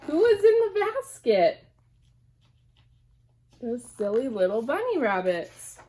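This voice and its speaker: A woman teacher reading a storybook aloud to young children in an animated way, pausing to ask them questions. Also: high-pitched, a little hiss or hum.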